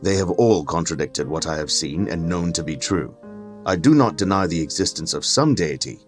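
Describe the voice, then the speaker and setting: An actor playing a haughty, learned skeptic in an audio drama, formal, condescending and given to lecturing. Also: male voice, low voice, expressive, moderate pace, soft background music. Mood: earnest, argumentative. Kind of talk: dramatic audio fiction acting.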